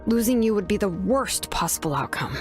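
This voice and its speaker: A woman's voice: mid-range voice, lively intonation, a little fast, close to an everyday voice. Game companion, voiced by a woman: young adventurer woman, self-assured and casual, light music behind. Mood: concerned, loyal. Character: confident, easygoing young female adventurer, loyal. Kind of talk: scripted video game voice acting.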